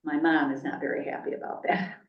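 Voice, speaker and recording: Deep-voiced middle-aged woman, distant. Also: level tone, brisk. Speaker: discussion participant. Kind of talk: casual group discussion. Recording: distant, echoey, dull.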